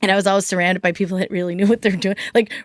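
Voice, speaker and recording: A woman's voice: medium pitch, fairly even intonation, rapid-fire, no room sound. Chatty woman, dry close sound. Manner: chatty, many fillers, acts out quoted voices. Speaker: podcast guest, musician. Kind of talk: casual interview chat.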